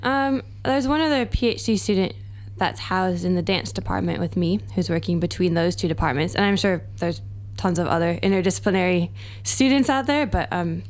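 A woman's voice: lowish voice, brisk, hardly any pauses. A young American woman, a doctoral researcher, chatting casually as a guest and laughing easily. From United States. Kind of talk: casual interview chat.

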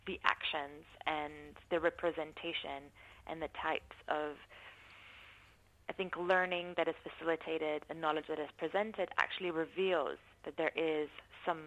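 A woman giving thoughtful, measured interview answers, often pausing to rephrase her points. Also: sits low, a bit bright, poor audio. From not from New Zealand. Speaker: interviewee, university researcher. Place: New Zealand.

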